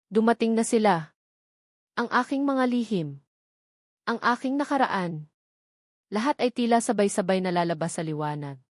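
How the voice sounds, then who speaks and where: A woman's voice: medium pitch, a little mellow, dry recording, studio quality. A woman reading a scripted dramatic love story as a narrator.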